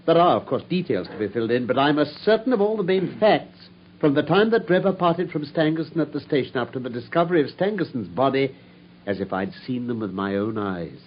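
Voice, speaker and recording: An actor playing a brilliant, self-assured English gentleman detective in a theatrical, precise mid-century radio drama style. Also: medium pitch, lively intonation, a little fast, lightly acted. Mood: confident, commanding. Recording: muffled, faintly noisy old radio recording.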